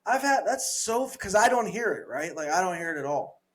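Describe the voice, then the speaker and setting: A man's voice: high voice, big pitch swings, fairly quick. A man with a Southern accent co-hosting a podcast, chatting casually, laughing often and trailing off while he searches for words. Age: adult. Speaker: podcast co-host. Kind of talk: casual chat.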